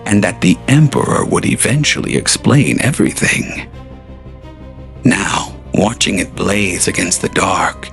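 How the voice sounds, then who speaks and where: A man narrating a scripted audio drama in a slow, solemn, deliberate way. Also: deep voice, a bit bright. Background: faint music.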